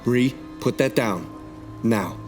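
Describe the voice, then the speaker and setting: A man's voice: medium pitch, slow pace, over music, natural voice. A man playing a curt, commanding rogue leader with dry sarcasm in a sci-fi game fan dub. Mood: stern, guarded. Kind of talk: visual novel fan dub acting.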